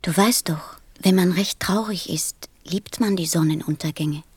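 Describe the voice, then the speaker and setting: A woman's voice: on the low side, a little slow, darkish tone, breathy edge, dry recording. A woman playing a sensitive, earnest little boy prince in a radio play, speaking with insistence. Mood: wistful. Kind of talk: German radio play acting.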